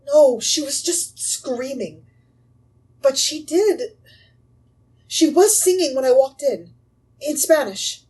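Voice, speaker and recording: A woman's voice: high voice, lively intonation, takes it slowly, long pauses, darkish tone, far from the mic. A woman acting an anxious, pleading young mother haunted by a ghost in an audio drama.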